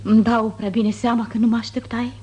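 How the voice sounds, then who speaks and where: A woman's voice: a little high, little pitch movement, dark tone, natural voice. An actress playing a proud, wounded noblewoman, formal, declamatory and reproachful, in 1950s radio theatre. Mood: wounded, bitter.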